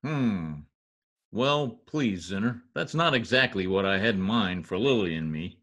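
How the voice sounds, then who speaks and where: A man's voice: fairly low pitch, lively intonation, a little slow, fairly bright tone. An older man playing a pompous, exasperated radio star with a theatrical manner in old-time radio comedy. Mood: fretful, weary. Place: indoor home office. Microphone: computer mic on video call.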